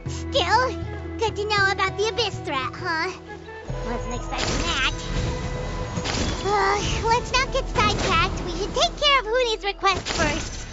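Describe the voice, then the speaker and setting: A woman's voice: very high voice, animated, a little slow. A woman voicing a small, feisty, chatty flying sidekick creature in a cartoonish game style, sassy and childlike. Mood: surprised, curious. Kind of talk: cartoonish video game voice acting. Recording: slightly dull audio under loud game music.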